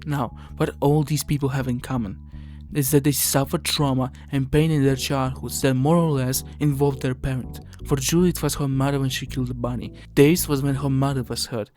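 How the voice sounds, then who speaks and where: A man's voice: mid-range voice, steady tone, moderate pace, darkish tone. A man reading scripted video essay narration in an earnest, somber, explanatory way. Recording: music under the voice.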